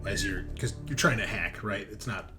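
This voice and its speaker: A man's voice: on the low side, normal speed, music in the background. A man running a tabletop roleplaying game, improvising narration and giving rulings in a casual, conversational way. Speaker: game master.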